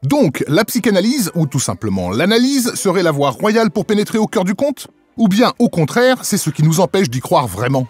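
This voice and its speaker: High-pitched man narrating with lively swings. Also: moderate pace, soft background music. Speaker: narrator of culture magazine. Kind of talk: scripted voice-over narration.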